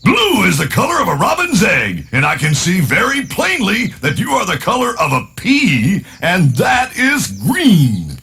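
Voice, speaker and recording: Man doing a high, hearty storybook baker. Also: wildly swooping pitch, measured pace, vocal fry, hardly any pauses, slightly rough, soft background music, full character voice. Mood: delighted teasing. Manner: loud, calling out, exclaiming. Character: hearty, teasing male neighbor Mister Brown, a baker.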